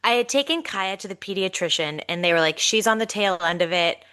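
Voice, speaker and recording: A woman in her thirties, congested and nasal, co-hosting a podcast and telling a story in a lively, chatty way. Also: medium pitch, brisk, clear, resonant tone. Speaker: podcast co-host. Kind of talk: casual storytelling chat.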